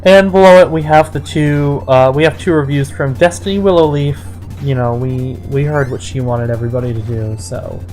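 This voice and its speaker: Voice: medium pitch, normal speed, hardly any pauses, a little mellow, faint music behind. A person reading fan fiction and its reviews aloud in a mocking dramatic style, breaking off into exasperated asides.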